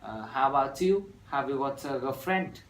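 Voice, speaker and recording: A twenty-one-year-old university student from Vietnam with a Vietnamese accent, reciting an English practice dialogue in a measured, rehearsed way with small fillers. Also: male voice, medium pitch, lively intonation. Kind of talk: scripted classroom dialogue practice. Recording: echoey room from far off, faint noise.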